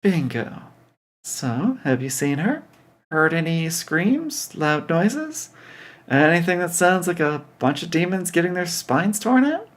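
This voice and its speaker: A man's voice: a little high, very animated, slow pace, voice pushed up. A man voicing a confident, sarcastic young woman demon slayer in an audio story, with teasing delivery. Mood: breezy.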